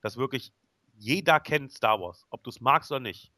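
A man's voice: mid-range voice, moderate pace, sharp tone, dry recording, studio quality. A man in a casual podcast discussion, speaking in stop-start phrases.

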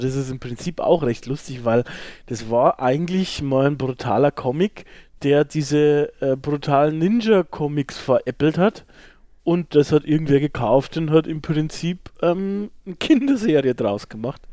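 A man's voice: a little high, warm tone, dry recording, studio quality. A middle-aged man, chatting casually and enthusiastically as a podcast co-host in colloquial language.

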